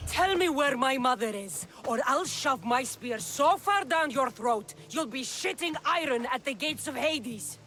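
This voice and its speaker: A woman's voice: sits high, expressive, measured pace, few pauses, a bit bright, faint music behind. An actress playing a fierce, foul-mouthed woman mercenary in a game cutscene, who talks bluntly and threatens freely. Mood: threatening. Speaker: mercenary hero.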